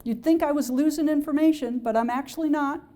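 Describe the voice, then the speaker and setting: A woman's voice: high voice, brisk, warm tone. A woman professor giving a public science lecture, explaining step by step and checking in with her listeners. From Alaska-based. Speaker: engineering professor. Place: lecture hall.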